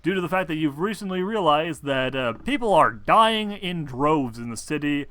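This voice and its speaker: A man with a fairly high, bright, forceful voice runs a casual tabletop game as its game master. He swears freely, and computer keys clatter as he talks. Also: expressive, moderate pace, dry recording. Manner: foul-mouthed.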